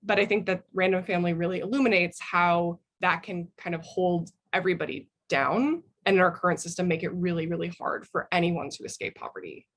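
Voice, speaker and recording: A woman's voice: lowish voice, fairly even intonation, fairly quick, hardly any pauses, a little hiss or hum. A woman speaking as a guest author, giving thoughtful answers in a book interview.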